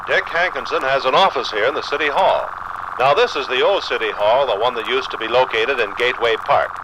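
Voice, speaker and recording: A man's voice: medium pitch, a little fast, lightly acted. An American radio reporter of the 1950s voiced by a man, speaking in a mid-range voice. He announces and questions in period broadcast style. The recording is plainly noisy. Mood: curious. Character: radio reporter interviewing in a historical dramatization. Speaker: host.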